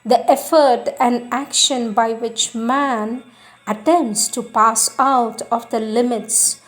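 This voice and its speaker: Woman reading spiritual stuff aloud, really slow n solemn, voice a bit high. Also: steady tone. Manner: measured, solemn, deliberate phrasing. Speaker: reader of spiritual texts.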